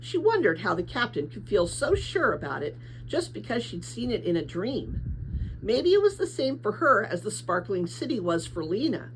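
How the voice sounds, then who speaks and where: A woman reading a children's novel aloud as an expressive storybook narrator. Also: medium pitch, normal speed, slight background noise, low-quality recording. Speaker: storybook reader.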